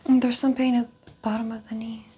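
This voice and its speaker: A woman whispering as a patient in an ASMR exam roleplay, answering quietly with soft murmurs. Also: fairly high pitch, level tone, fast talker, husky.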